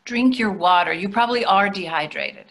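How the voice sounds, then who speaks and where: A woman's voice: medium pitch, normal speed, creaky, fairly bright tone, a little hiss or hum. A woman in her sixties playing a placating, dryly chiding wife in a readers theatre play. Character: placating, gently needling middle-aged wife. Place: plain room indoors on a video call.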